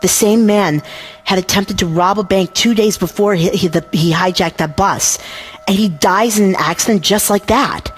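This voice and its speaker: A woman's voice: mid-range voice, normal speed, close to an everyday voice. A woman playing a serious, analytical young investigator in an anime dub, reasoning earnestly and carefully. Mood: grave, insistent. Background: background music.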